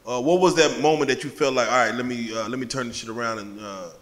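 A man's voice: mid-range voice, fast talker, a bit bright. A man hosting a casual interview, asking long, rambling questions full of fillers. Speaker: podcast host. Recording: long reverb in a room.

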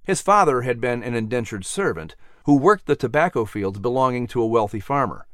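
A man with a medium-pitched voice narrates a children's story as its announcer. His delivery is theatrical and expressive, with lively rises and falls. The recording is close and very dry. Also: a little fast, no room sound.

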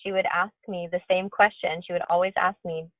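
Woman co-host, tinny phone-line sound, lowish voice, earnest emphatic chat. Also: level tone, fairly quick, no room sound. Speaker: podcast co-host. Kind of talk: casual conversational podcast.

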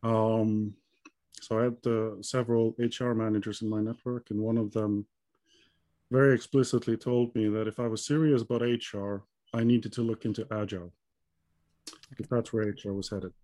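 Icelandic man, flat mellow voice. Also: lowish voice, moderate pace, no echo. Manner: hesitant, many ums and restarts. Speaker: guest. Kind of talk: conversational interview answers.

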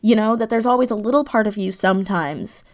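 A woman chats as the guest in an interview. She speaks casually and reflectively, feeling her way through each thought with halting starts and repeated phrases. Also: medium pitch. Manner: thoughtful, halting, circles back over phrases. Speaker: interview guest, audio drama creator.

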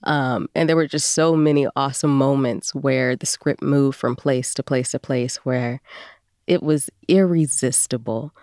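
A woman speaking as a guest performer in a casual interview, with an expressive, emphatic way of talking. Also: rumbling low, unhurried, dry recording, clean studio sound.

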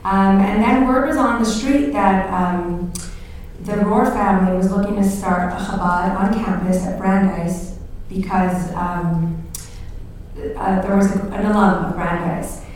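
A woman tells her story in a calm, measured way, filling her pauses with "um." Her voice sits at a middle pitch. It comes from far off in a very echoey room, with faint noise behind it. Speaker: co-host and Chabad emissary. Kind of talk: recounting in a live interview. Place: live recording before an audience.

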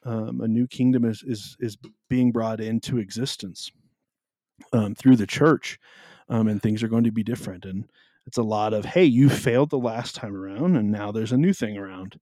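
A man with a deep, carrying voice co-hosting a casual podcast about the Bible, explaining at an easy pace with plenty of ums. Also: moderate pace, mellow, dry recording. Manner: easygoing, explains with many ums. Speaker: podcast co-host.

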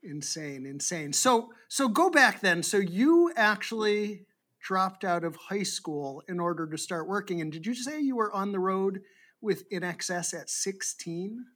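A man's voice: high voice, animated, unhurried, fairly bright tone. A man from Boston interviewing a guest, asking long, winding questions in a chatty way. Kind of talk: casual interview chat.

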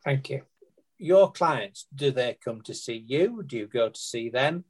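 A man's voice: medium pitch, lively intonation, measured pace, husky. A man interviewing guests on a podcast, putting leading, prompting questions.

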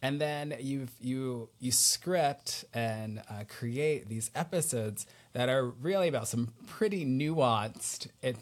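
A man's voice: mid-range voice, slow pace, hardly any pauses. A man hosting a podcast in casual interview style, searching for his words as he talks.

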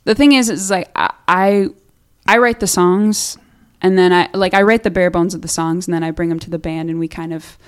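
A woman's voice: lowish voice, fairly even intonation, fairly quick, hardly any pauses, clear, resonant tone. A young woman from Minnesota, a singer-songwriter chatting casually as a studio interview guest, restarting sentences and laughing at herself. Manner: chatty, restarts often, self-deprecating laughs.

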